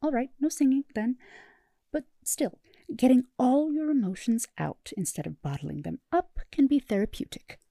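A woman's voice: high voice, animated, moderate pace, vocal fry, dry recording, voice pushed up, full character voice. A woman voicing an eager, strained-polite male robot therapist in a fan audiobook. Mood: encouraging. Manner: bright, encouraging, strained politeness.

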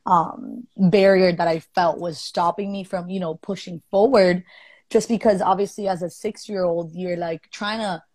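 A woman's voice: lowish voice, steady tone, moderate pace, darkish tone, no echo. A young woman from Colombia, speaking earnestly and thoughtfully in casual conversation, with many fillers. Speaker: guest.

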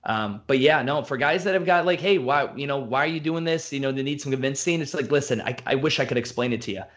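Blunt, profane gym owner. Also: male voice, mid-range voice, a bit bright. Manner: blunt, profane, fast-flowing rants. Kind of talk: casual podcast conversation.

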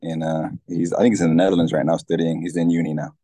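A man's voice: low voice, level tone, fast, warm tone, no echo. A man, a guest in a casual interview who talks easily with many fillers and restarts. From Tanzania.

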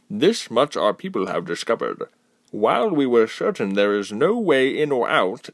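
A man doing a calm, formal council spokesman. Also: mid-range voice, gravelly, no echo, voice pushed up, full character voice. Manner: formal, measured.

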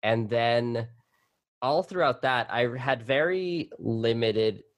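A man's voice: mid-range voice, takes it slowly, no echo. A young man, born in Colombia and raised in New York City, talking casually as an interview guest with lots of fillers and restarts. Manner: chatty, with many fillers and restarts. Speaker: guest, arachnologist.

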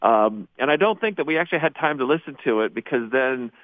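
A man, a high school theater teacher, chatting casually as a radio guest in an easygoing, rambling way. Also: lively intonation, fast, dry recording. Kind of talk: casual radio interview chat. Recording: dry, narrow phone-like line.

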